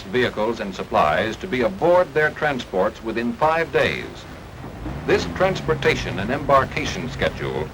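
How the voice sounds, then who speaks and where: American man, animated 1940s wartime film narrator. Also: medium pitch. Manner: formal, declamatory, emphatic. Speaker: newsreel-style documentary narrator. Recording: noisy archival 1940s film soundtrack.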